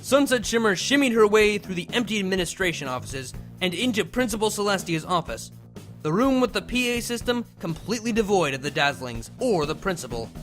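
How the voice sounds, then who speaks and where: A male narrator with a high voice reads a comedic story aloud. His delivery is theatrical and mock-dramatic, with lively swings in pitch. Soft music plays behind him. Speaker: fan fiction reader, channel host.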